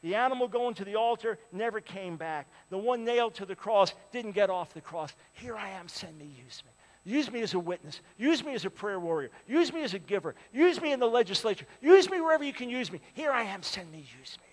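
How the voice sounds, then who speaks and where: A man in his sixties preaching a sermon, swinging from loud appeals to hushed, pleading lines. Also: high voice, animated, brisk, long reverb tail. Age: 60s. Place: large church with a balcony.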